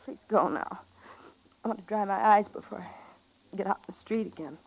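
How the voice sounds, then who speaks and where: A woman's voice: medium pitch, moderate pace, slightly rough. An actress playing a scheming, volatile saloon gambling woman in a 1950s western radio drama, with a melodramatic delivery. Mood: tearful pleading. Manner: melodramatic, pleading turning to defiant. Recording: dull vintage radio recording.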